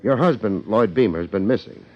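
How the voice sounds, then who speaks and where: An actor playing a wry, hard-boiled American detective with deadpan wisecracks, in 1950s radio drama style. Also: male voice, fairly low pitch, a little fast. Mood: probing, measured. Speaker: detective and narrator. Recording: dull, band-limited 1950s radio recording.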